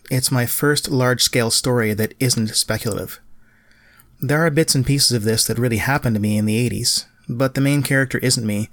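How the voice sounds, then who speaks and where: A middle-aged man, narrating a solo podcast in a measured, lightly self-deprecating way. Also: medium pitch, no room sound, clean studio sound. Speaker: podcast host, writer.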